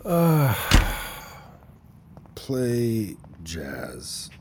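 An actor playing a wary, flustered older man who speaks haltingly and curtly in an audio drama. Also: mid-range voice, huge pitch swings, gravelly, full character voice. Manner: halting, curt. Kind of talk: naturalistic audio drama acting. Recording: loud background noise with clicks.

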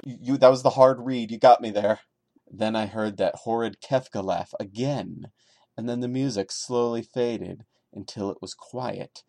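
Lowish voiced guy doing a dramatic reading, sarcastic and fed up commentary, podcast host type, dry sound no room echo. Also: mellow. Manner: sarcastic, exasperated commentary breaking into the narration. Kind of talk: dramatic reading with mocking asides.

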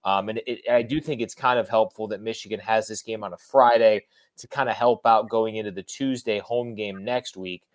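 A man's voice: on the low side, steady tone, normal speed. A man giving casual, emphatic sports analysis as a guest on a podcast.

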